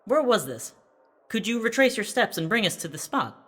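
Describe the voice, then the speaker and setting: Horror radio play: formal investigator, doubting and insistent, crisp bright edge to the voice. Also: mid-range voice, big pitch swings, moderate pace. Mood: sceptical, pressing. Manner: formal, pressing questioning. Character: probing, sceptical investigator questioning a witness. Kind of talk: horror radio drama acting.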